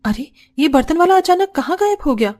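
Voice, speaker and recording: A kind, devout young mother from a cartoon tale, acted by a woman. Her voice sits a little high. She talks with humble, earnest politeness. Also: sing-song, fairly quick, darkish tone. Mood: puzzled. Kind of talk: Hindi cartoon story voice acting.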